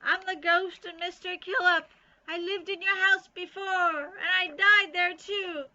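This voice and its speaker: A woman reading aloud, voicing a loud, mischievous young girl who yells and puts on a spooky ghost voice. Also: very high voice, fairly even intonation, measured pace, highs cut off, voice pushed up, heavily acted.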